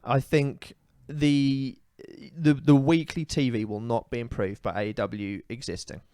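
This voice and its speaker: A man's voice: medium pitch, steady tone, measured pace, mellow, no echo, studio quality. A man co-hosting a casual comedy podcast, giving strong opinions in a rambling, ranting way with many fillers. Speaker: podcast co-host.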